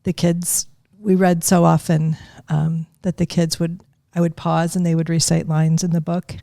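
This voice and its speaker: Low, gravelly-voiced grandmother reminiscing. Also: level tone, warm tone, dry recording, clean studio sound. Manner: thoughtful, unhurried recollection. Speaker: guest. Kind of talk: casual interview chat.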